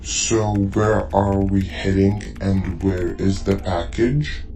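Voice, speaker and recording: A man's voice: deep voice, steady tone, takes it slowly, dark tone, soft background music. A man playing an inquisitive crew member in a science fiction audio drama, questioning his superior. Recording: roomy echo.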